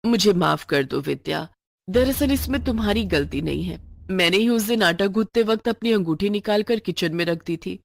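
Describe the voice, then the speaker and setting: A woman voicing a well-off working female employer in a moral storybook tale, brisk, commanding and demanding. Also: medium pitch, expressive, gravelly, slight background noise. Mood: apologetic.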